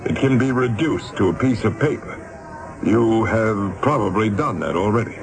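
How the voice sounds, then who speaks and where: A man's voice: lowish voice, animated, normal speed, over music. An actor playing a ruthless, impatient, wealthy businessman in a radio drama, blustering and scornful as he gives orders. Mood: pressing. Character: domineering wealthy businessman, impatient, ruthless, used to buying people. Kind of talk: 1970s radio drama acting.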